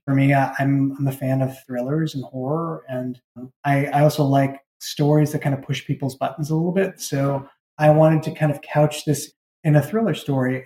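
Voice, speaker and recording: A man's voice: mid-range voice, dark tone. A man speaking conversationally in an interview, as a writer explaining his creative work. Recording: roomy, echoing sound.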